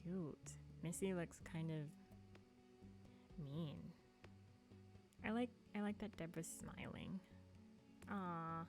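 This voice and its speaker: A woman livestreams games in a relaxed, slightly low voice at an unhurried pace. She chats casually and playfully, poking fun at herself. Music plays behind her. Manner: playful, giggly, self-deprecating. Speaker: video game livestreamer. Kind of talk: casual livestream chat while gaming.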